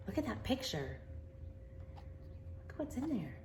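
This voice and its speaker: Expressive American woman reading to children, lively pitch. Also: unhurried, background noise. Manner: informal, chatty, expressive. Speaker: children's read-aloud storyteller. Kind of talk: storybook read-aloud with casual asides to children.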